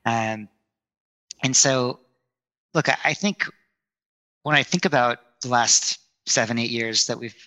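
A man's voice: medium pitch, measured pace, long pauses, pushed and bright, rough recording. A man from New Jersey, a company founder interviewed as a guest, talking in an earnest, reflective way. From United States, New Jersey. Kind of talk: casual interview chat.

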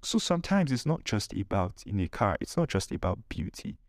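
A man's voice: on the low side, very animated, brisk, no echo, clean studio sound. A man hosting a podcast in an informal advice monologue, speaking emphatically with repeated phrases and rhetorical questions. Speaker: podcast host.

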